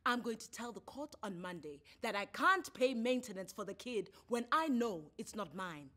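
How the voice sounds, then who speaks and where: Lady doing an indignant south african husband in a story, loud, insistent, bright sharp voice. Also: fairly high pitch, lively intonation, moderate pace. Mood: defiant. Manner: emphatic, insistent. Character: indignant, aggrieved husband in his cups.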